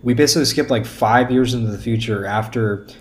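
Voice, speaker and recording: A man as a podcast guest giving casual critique, halting and repeating words as he goes. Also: medium pitch.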